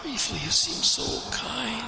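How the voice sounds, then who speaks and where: A sly, plaintive spirit of the cold, speaks in a roomy, noisy space. He draws words out very slowly in a gravelly creak, wheedling theatrically as in a radio play. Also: very high voice, animated, bright, forceful tone, full character voice. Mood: wheedling, pleading. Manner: drawn-out, sighing, theatrical, sometimes whispering. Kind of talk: audio drama acting. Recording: roomy sound with clear background noise.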